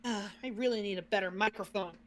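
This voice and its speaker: A woman's voice: medium pitch, fast talker. A woman co-hosting a casual reaction, chatting informally. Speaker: reaction co-host.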